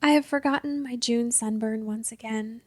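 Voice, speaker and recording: A woman narrating a literary short story in a measured, deliberate way, in short careful phrases. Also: fairly high pitch, fairly even intonation, a little mellow, no echo. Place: close-miked dry recording space.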